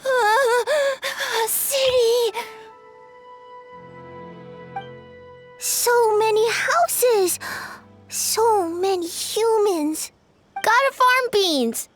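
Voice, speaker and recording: A tiny excitable creature for a game, with an extremely high, childlike voice. It speaks in slow, eager bursts with lively pitch, and music plays clearly behind it. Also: female voice, heavily acted. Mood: excited. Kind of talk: video game voice acting.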